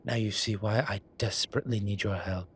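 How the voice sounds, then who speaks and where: A man playing a flirtatious, cheeky incubus demon in an audio roleplay, talking in a teasing, suggestive way with drawn-out pauses. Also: on the low side, fairly even intonation, a bit bright, a little hiss or hum, natural voice. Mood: playful pleading. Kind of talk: audio roleplay acting, one-sided conversation.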